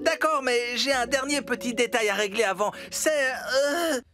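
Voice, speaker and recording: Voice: medium pitch, measured pace, close to an everyday voice. An actor voicing an eager, mischievous young talking digger in a French-dubbed children's cartoon. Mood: nervous, evasive. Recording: music behind the voice. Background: cartoon background music.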